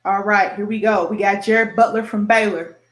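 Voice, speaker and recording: A woman's voice: mid-range voice, fairly even intonation, normal speed, roomy sound. A woman with an American Midwest accent hosting a sports show casually, chatty and self-deprecating as she reads through lists and sounds out names.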